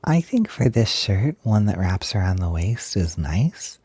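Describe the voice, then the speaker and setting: A man playing a gentle, enthusiastic young roommate in an intimate audio roleplay, talking softly, hesitantly and reassuringly with a breathy voice. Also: fairly low pitch, very animated, unhurried, no room sound, full character voice. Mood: helpful, thoughtful. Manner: soft, hesitant, giggly, reassuring. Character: gentle, enthusiastic young male roommate who loves frilly clothes.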